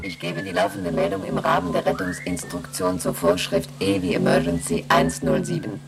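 A woman playing an emotionless moon station computer in a radio play, reciting in a formal monotone. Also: rumbling low, normal speed, gravelly, loud music behind the voice, full character voice. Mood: impassive. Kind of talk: German radio play acting.

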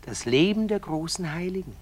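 An actor playing a meek husband who hides murderous hatred behind falsely sweet politeness in a literary radio drama. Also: male voice, on the high side, expressive, moderate pace, darkish tone. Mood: slyly polite.

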